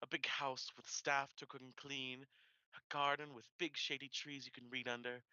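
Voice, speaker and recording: Young man acting an earnest husband, bright voice. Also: medium pitch, little pitch movement, moderate pace, smooth, dry recording, lo-fi sound. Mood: tender. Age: young adult. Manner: earnest, tender. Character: devoted, worried young husband, newly married. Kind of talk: radio play acting, period romance.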